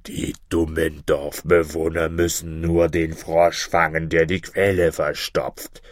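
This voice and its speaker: A man voicing a gruff, boastful, man-eating griffin in a fairy-tale reading, slow, scornful and commanding. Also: very deep voice, flat delivery, dry recording, clean studio sound, voice pushed down.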